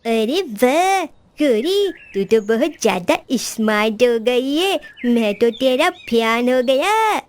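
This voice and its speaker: A woman voicing a sly male cartoon crow who pleads in an exaggerated, theatrically drawn-out way. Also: on the high side, animated. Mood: admiring. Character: sly male crow, wheedling con artist. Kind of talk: Hindi children's cartoon voice acting.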